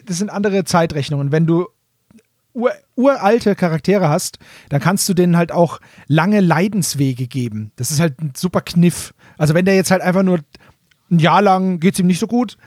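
A man with a fairly high voice chats as a podcast co-host. He explains things informally, fan to fan. The recording is close and dry. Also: moderate pace, a little mellow, dry recording. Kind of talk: casual explanatory fan chat.

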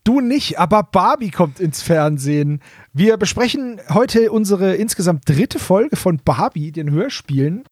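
Higher-pitched man chatting as podcast co-host. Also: expressive, measured pace, darkish tone, breathy edge, no room sound, studio quality. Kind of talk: casual chat about a radio play series.

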